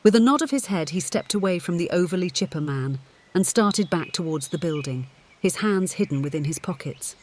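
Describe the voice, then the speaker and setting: A man's voice: high voice, expressive, a little fast. A man reading scripted audiobook fiction as its narrator.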